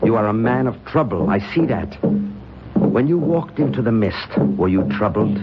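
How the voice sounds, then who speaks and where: An earnest, probing mentor in a radio play. He is intense and persuasive, with highly varied intonation. Prominent music sits behind a dull vintage recording. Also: sing-song, moderate pace. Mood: probing, stern. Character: earnest, insistent male mentor urging a troubled man. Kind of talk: old-time radio drama acting.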